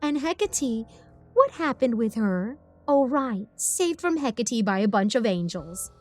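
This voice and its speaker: Female narrator as sinister demon in a woman's body: taunting, cruel, drawn-out delivery, audio storybook, light music bed. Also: a little high, big pitch swings.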